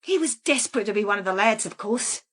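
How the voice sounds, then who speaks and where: A woman's voice: mid-range voice, lively intonation, fast talker, fairly bright tone, lightly acted. An actress playing a calculating woman who confesses to killing in a matter-of-fact, unrepentant way, in a naturalistic radio crime drama. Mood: matter-of-fact, reminiscing. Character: calculating female killer calmly confessing in a police interview.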